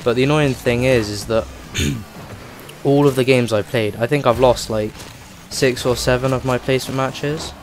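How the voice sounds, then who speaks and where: A man rambles casually through his own gameplay, his voice rough and a little off from a cold. His delivery stays fairly flat and level. Game music plays clearly underneath him. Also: mid-range voice, unhurried. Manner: rambling, chatty, many "like" fillers. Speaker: gaming channel host.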